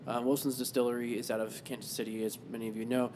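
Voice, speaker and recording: A man hosting a podcast in a loose, rambling casual chat, quick to laugh. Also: mid-range voice, level tone, a little mellow, slight background noise. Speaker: podcast host.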